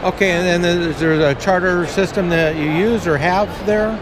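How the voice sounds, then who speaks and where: A man's voice: sits high, fairly even intonation, normal speed, a crowd in the background. A man doing a casual on-the-spot interview, chatty, with joking asides. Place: indoor trade show hall. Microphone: action camera microphone. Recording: noisy trade show floor, camera mic. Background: busy show floor noise.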